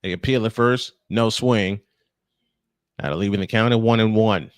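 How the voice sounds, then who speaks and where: A man's voice: fairly low pitch, normal speed, smooth, no echo, clean studio sound. A man calling live baseball play-by-play in punchy, clipped phrases, mixed with casual chat. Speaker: play-by-play announcer and host.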